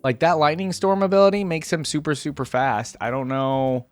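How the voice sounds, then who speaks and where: A man's voice: fairly high pitch, fairly even intonation, normal speed, soft background music. A man hosting a reaction video, chatting casually in an easygoing, easily amused way.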